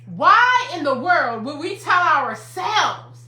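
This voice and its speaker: A woman's voice: high voice, very animated, slow pace, bright, forceful tone, echoey room. A woman in her fifties, a counselor and life coach, giving an emphatic, repetitive motivational talk to a livestream audience. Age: 50s.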